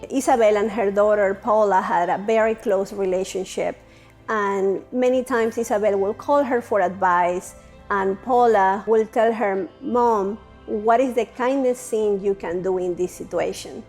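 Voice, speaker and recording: A woman's voice: medium pitch, unhurried, darkish tone, faint music behind. A woman speaking admiringly about a friend's life in a tribute interview. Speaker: documentary interviewee.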